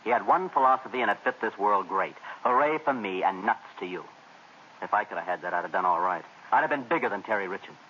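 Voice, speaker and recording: An actor playing an excitable young jazz band drummer who talks in eager, chatty jive slang, in 1940s radio acting. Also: male voice, on the low side, quick pace, lightly acted. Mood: admiring. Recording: old radio broadcast, dull with faint hiss.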